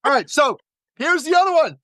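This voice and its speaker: High-voiced emphatic man pitching. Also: animated, a little fast, pushed and bright, no room sound. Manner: emphatic, repeats words for stress. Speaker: podcast guest, business coach. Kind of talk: energetic sales pitch.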